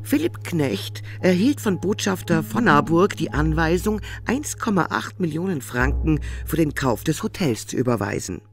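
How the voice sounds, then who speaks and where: Policeman, highish male voice, gravelly, matter-of-fact, dry studio radio drama. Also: very animated, moderate pace, few pauses, soft background music. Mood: explanatory. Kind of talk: crime radio drama acting.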